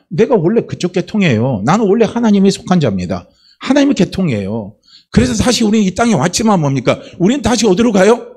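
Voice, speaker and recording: Man, pastor, from Chungcheong, husky, high and lively voice, sermon with rhetorical questions. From Chungcheong, South Korea.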